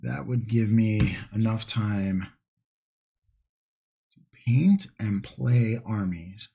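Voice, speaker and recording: A man hosting a casual painting livestream, chatting to viewers in an unhurried, rambling way as if musing to himself, with a husky, gravelly voice. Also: lowish voice, mellow. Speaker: livestream host, miniature painting hobbyist.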